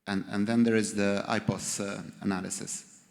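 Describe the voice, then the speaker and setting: A man's voice: fairly low pitch, little pitch movement, normal speed, gravelly, a little mellow, in a large hall. A man answering a panel question, hesitant with many ums.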